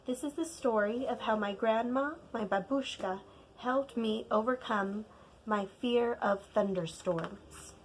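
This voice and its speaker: Very slow, high-voiced woman reading a storybook. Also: a little hiss or hum, poor audio. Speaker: teacher reading a picture book aloud. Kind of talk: expressive storybook read-aloud narration.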